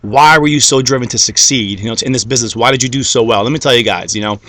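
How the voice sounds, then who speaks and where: Fast, bright-voiced motivational man. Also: mid-range voice. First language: Greek. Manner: repeats "okay?" and "guys", builds to rising fired-up climax. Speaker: motivational speaker. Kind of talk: motivational talk to followers.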